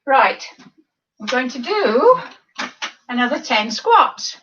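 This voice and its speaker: A croaky older woman counts slowly through exercise reps, her voice bright and high. She sounds distant from the mic on a dull, lo-fi recording. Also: big pitch swings. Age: over 50. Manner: counting reps, chatty asides. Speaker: fitness instructor. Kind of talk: leading a seated exercise class.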